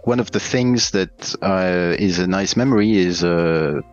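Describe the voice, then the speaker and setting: Low-voiced Belgian-born man on a headset. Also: a little slow, highs cut off. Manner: hesitant, many fillers and restarts. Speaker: head of a game studio. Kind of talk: casual interview over video call. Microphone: headset over video call.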